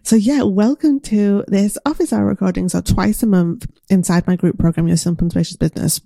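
A woman hosting a solo podcast, answering listener questions in a gentle, encouraging way while croaky from recovering from an illness. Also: mid-range voice, a little fast, dry recording, studio quality. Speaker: podcast host and business coach. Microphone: close mic, audio only.

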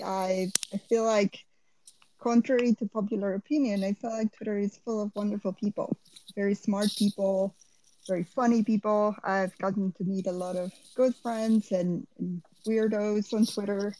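A woman from Rica, a guest talking frankly and casually in a relaxed, self-deprecating way. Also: mid-range voice, low-quality recording. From Costa Rica. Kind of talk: casual conversation on a live audio chat.

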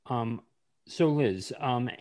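A man hosting a podcast interview, speaking in an unhurried casual way with frequent ums and restarts. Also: mid-range voice, expressive, darkish tone. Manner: slow, hesitant with many ums. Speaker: podcast host.

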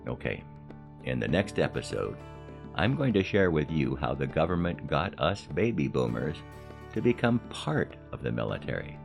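An American man in his seventies narrating a scripted personal podcast in a measured storytelling manner with deliberate emphasis. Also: very deep voice, very animated, gravelly, over music. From Los Angeles, United States. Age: 70s. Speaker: podcast host.

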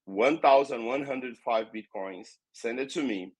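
A Brazilian man in his fifties, a native Portuguese speaker with a Brazilian accent, telling stories as an interview guest, lively and acting out the dialogue. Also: medium pitch, a little slow, breathy edge, dry recording, low-quality recording. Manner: animated, acts out dialogue, swears casually. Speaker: guest, tech company founder. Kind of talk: casual storytelling interview.

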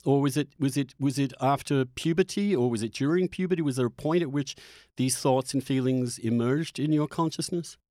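Male podcast host, mid-pitched voice, close dry recording, halting conversational delivery with emphatic key words. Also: darkish tone, no room sound. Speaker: host interviewing guests. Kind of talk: conversational podcast introduction and interview.

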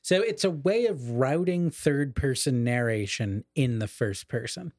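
A man's voice: mid-range voice, unhurried, darkish tone, dry recording, studio quality. A man co-hosting a casual literary discussion, explaining ideas in an erudite way and qualifying his points as he goes. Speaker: podcast co-host explaining literature.

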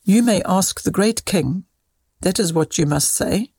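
A woman reading as a kindly older male cousin who tells children moral tales in an instructive, measured way. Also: on the low side, dark tone, slightly rough, no room sound, clean studio sound. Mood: earnest.

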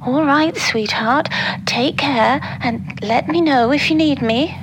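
An actress playing a concerned middle-aged mother in an audio drama, gently and breathily reassuring her grown daughter. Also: high-pitched, slow pace. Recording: clear background noise, ambience.

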